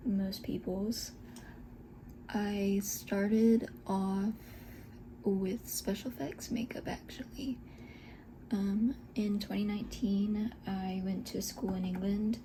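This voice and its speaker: Slow whispery woman in her twenties. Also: medium pitch, dark tone, a little hiss or hum, low-quality recording. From Scotland, raised in Texas. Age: 20s. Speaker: esthetician and beauty vlogger. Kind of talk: soft whispered get-ready-with-me chat.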